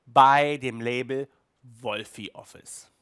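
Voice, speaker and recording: Slow-paced man hosting a chatty review. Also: medium pitch, steady tone, heavy background noise. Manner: chatty, emphatic, peppered with tag words. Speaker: audio drama reviewer and host. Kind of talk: casual review talk to camera. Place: small indoor room at home. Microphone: headset.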